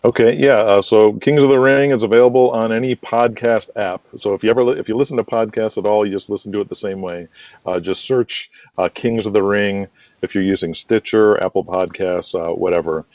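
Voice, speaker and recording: A man's voice: lowish voice, fairly even intonation, brisk, darkish tone. A man chatting casually as a podcast guest, agreeable and eager to promote his show. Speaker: podcast guest, audio drama creator. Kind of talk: casual interview chat. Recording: dull lo-fi roomy call audio.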